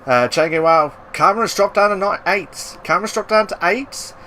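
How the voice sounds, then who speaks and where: A man giving live racing commentary, excitable and bursting into loud exclamations at the action. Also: high-pitched, moderate pace, a little hiss or hum. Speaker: race commentator.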